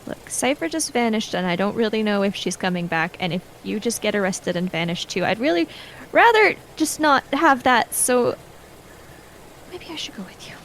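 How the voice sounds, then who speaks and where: A woman voices a young female thief in a tabletop roleplaying game. She is anxious and loyal, speaking haltingly in a gravelly, creaky voice, with faint noise on the recording. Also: mid-range voice, expressive, fairly bright tone, poor audio. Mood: worried, pleading. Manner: hesitant, halting.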